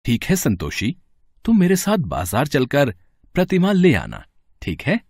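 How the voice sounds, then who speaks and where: A man playing a humble, kind-hearted, devout father in a devotional storybook tale, gentle and reverent. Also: fairly high pitch, wildly swooping pitch, measured pace, husky, no echo, studio quality, exaggerated voice.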